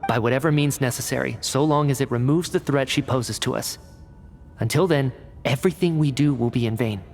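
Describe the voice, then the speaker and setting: An actor playing an analytical male researcher in a video game cutscene, explaining in a measured, cerebral way. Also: medium pitch, a little mellow, natural voice. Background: game score music.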